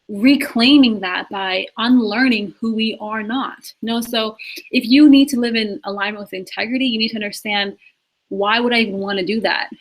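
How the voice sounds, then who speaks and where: Woman in her 20s, spiritual life coach talking live to viewers, earnest and emphatic, mid-range pitch. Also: rough recording. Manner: earnest, repetitive, emphatic, with laughs and restarts.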